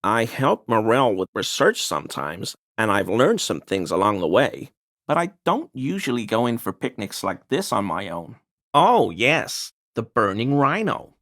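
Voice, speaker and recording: An obsequious video game conspiracy theorist with pretensions to scholarship. He speaks slowly and deliberately, with a very animated, rising and falling melody. His manner is deferential and wheedling, full of insinuation. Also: on the low side, full character voice. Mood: eager, deferential. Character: obsequious, insinuating male conspiracy-minded amateur researcher. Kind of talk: video game character dialogue.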